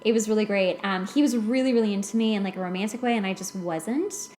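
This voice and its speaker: A woman's voice: mid-range voice, quick pace, a little mellow, roomy sound, a little hiss or hum. An American woman in her late twenties tells personal stories casually, in a chatty, self-deprecating way, as a podcast host. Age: late 20s. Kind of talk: casual personal storytelling in conversation.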